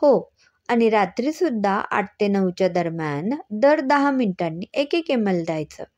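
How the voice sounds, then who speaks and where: A woman's voice: medium pitch, lively intonation, moderate pace, a little mellow, natural voice. A woman storyteller voicing a clever, teasing woman doctor who explains things patiently and with assurance. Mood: assured.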